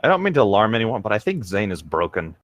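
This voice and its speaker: A man's voice: lowish voice, big pitch swings, fast, no echo. A man reading fan fiction aloud as a co-host, breaking off for sarcastic, offhand asides.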